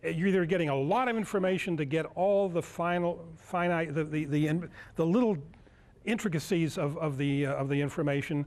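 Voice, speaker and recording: A man in his sixties giving an informal live demonstration as a lecturer, halting as he searches for words. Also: on the high side, darkish tone. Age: 60s. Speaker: lecturer at a club workshop. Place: indoor meeting room, speaking to a group.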